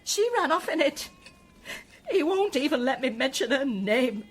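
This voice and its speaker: A woman acting in a radio drama as a distraught, devout mother, speaking in a halting, emotional way. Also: sits high, very animated, soft background music. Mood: anguished.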